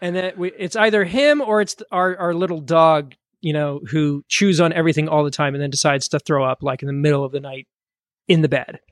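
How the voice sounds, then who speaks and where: A man co-hosting a podcast in easygoing, rambling chat. His voice sits a bit high. The sound is dry and close, with no room around it. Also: brisk, no room sound. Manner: relaxed, rambling with many fillers. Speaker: podcast co-host. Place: home studio during a live stream.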